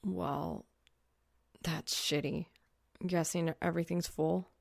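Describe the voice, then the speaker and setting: A woman reader puts on an awkward young male gamer, a little lowered in pitch and creaky. He is laconic and deadpan, hesitant and low-key, an audiobook character heard dry and close. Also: slow pace, no room sound, heavily acted.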